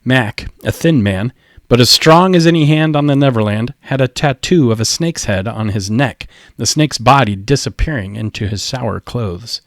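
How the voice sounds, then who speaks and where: A man's voice: medium pitch, moderate pace, no room sound, clean studio sound. A man narrating an audiobook, reading a scripted story expressively.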